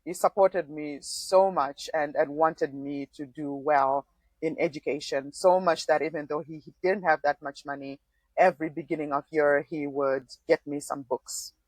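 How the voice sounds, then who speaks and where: A woman's voice: low voice, no room sound, rough recording. A woman in her forties from Zimbabwe, a former political candidate, telling her story as a podcast guest with frequent small laughs. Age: 40s. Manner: thoughtful, laughs often, frequent restarts. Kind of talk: conversational interview.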